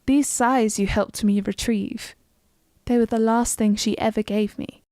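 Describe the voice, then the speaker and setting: A woman plays a wistful fantasy companion from a video game, looking back on her past. Her voice sits a little high. The scripted lines are recorded dry, with no room sound. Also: steady tone, measured pace, dark tone, no echo, lightly acted. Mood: wistful, measured. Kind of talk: scripted game character dialogue.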